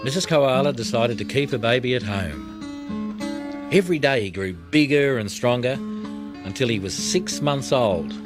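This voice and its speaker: A man reading a children's audiobook in an expressive storytelling style, with plucked guitar music behind him. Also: medium pitch, a little slow. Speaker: audiobook narrator.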